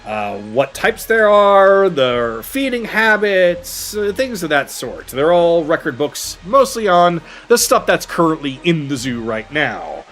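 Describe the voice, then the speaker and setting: A man running a tabletop game as game master, improvising narration in a halting, thinking-aloud way. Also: on the high side, big pitch swings, fairly bright tone. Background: background music.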